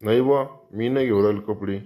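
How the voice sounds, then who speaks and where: Low-voiced village father-in-law acted by a man. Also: fairly even intonation, a little fast, dull audio, lightly acted. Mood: conciliatory. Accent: rural Maharashtrian Marathi. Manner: soothing, conciliatory to his guest. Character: placating middle-aged village father-in-law, scolds his son. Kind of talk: comedy cartoon dialogue in colloquial Marathi.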